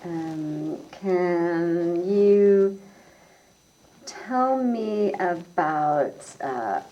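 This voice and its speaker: A woman interviewer asking questions slowly and with hesitation. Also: on the low side, lively intonation, lo-fi sound.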